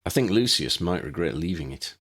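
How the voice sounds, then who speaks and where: A man's voice: low-pitched, expressive, brisk, fairly bright tone. A man voicing an earnest young wizard hero in an audiobook story, direct, alert and concerned. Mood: resolute.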